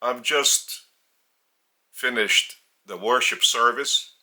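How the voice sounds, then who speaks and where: A man with a Lithuanian accent, a native Lithuanian speaker, giving a spiritual teaching in a deliberate, halting way with emphasis on key words. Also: mid-range voice, bright, forceful tone. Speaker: spiritual teacher and religious leader. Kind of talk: spiritual sermon addressed to camera. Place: indoors.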